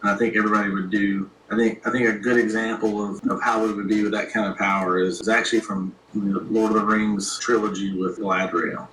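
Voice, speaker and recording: Lower-voiced man in an echoey room. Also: little pitch movement, a little fast, hardly any pauses, a bit bright, slight background noise. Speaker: interviewer. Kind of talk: casual interview chat.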